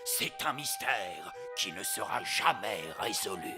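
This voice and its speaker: An actor voicing a hearty, theatrical, adventurous elf pirate with a gravelly voice in a children's cartoon. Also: male voice, fairly low pitch, expressive, a little slow, over music. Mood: pondering. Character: blustery, adventurous old-sea-dog elf pirate with a parrot. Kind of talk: animated children's series voice acting, French dub.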